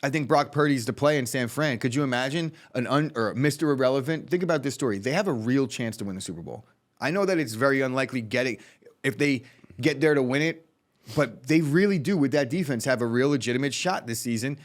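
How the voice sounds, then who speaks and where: A man co-hosting a casual sports podcast, talking in quick, opinionated bursts with frequent restarts. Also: medium pitch. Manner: fast opinionated talk, many restarts. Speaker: co-host.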